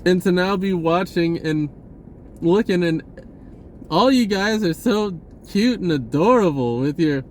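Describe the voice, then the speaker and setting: A man speaking in a casual, rambling spiritual monologue, halting and drifting in an informal way. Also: high voice, animated, slight background noise. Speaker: spiritual vlogger.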